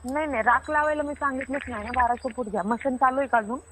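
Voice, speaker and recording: Comic phone skit: woman acting a self-assured rural Marathi water diviner, high and quick, argumentative, stubbornly repeating herself. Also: a bit bright, slight background noise. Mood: defensive, indignant. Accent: Marathi, rural Maharashtra.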